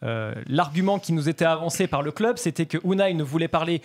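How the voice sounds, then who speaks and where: Higher-voiced man hosting a radio show. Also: fairly quick. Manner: conversational questioning, with hesitations. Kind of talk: radio talk show interview.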